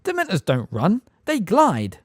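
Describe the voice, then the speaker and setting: A man's voice: high-pitched, wildly swooping pitch, unhurried, no echo, studio quality, pitched above the performer's own voice, heavily acted. A man reading an audiobook voices a stern female judge who speaks sharply, coolly and impatiently. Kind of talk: audiobook character voice.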